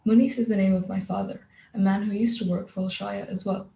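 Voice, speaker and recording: Teen girl from Kuwait, Gujarati native, articulate speech, warm gravelly tone, echoey room. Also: mid-range voice, fairly even intonation, brisk, slight background noise. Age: teens. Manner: articulate, poised, polished phrasing. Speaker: youth speaker. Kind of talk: prepared public speech. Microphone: video call. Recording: echoey room over video call.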